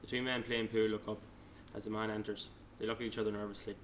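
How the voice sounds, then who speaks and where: A man's voice: lowish voice, level tone, fast talker, a little hiss or hum. A man narrating stage directions at a table read, plainly, with small stumbles as he reads.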